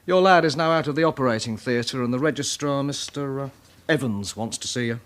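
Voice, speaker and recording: A man's voice: medium pitch, fairly quick, a bit bright, lightly acted. An actor playing a busy male police station officer in a radio drama, practical and a little grudging. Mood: businesslike.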